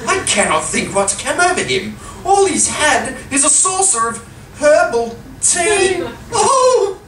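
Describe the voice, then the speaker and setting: A woman's voice: sits high, very animated, slow, a bit bright, exaggerated voice. A woman playing a sweet, fussy, innocent church worker in a live comedy radio play, effusive and fretful. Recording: echoey distant live recording, clear noise.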